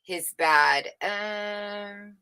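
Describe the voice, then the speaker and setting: A woman's voice: medium pitch, very slow, bright, forceful tone, clean tone. A woman livestream host reading a book aloud unrehearsed, informally, stumbling over words and correcting herself as she adds casual commentary.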